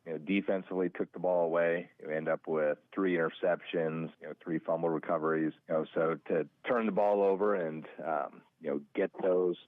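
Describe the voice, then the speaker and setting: An American man, a high school football coach, giving a plainspoken, halting interview on a pregame radio show. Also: fairly even intonation, no echo, narrow, tinny audio.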